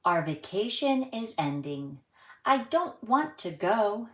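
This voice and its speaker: A woman hosting a children's story time, reading aloud and presenting to young viewers in an expressive, measured way. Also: mid-range voice, reverberant.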